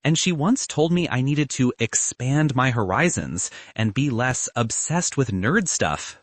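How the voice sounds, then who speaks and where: A man's voice: mid-range voice, lively intonation, unhurried, no echo. A man voicing a lonely young physics student in an audiobook, hesitant, defensive and full of self-doubt. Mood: rueful.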